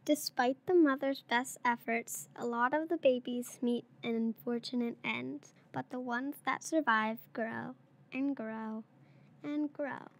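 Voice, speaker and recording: Little girl around 8 reading a picture book out loud, highish voice. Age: child, around eight or nine. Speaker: third-grade pupil reading aloud.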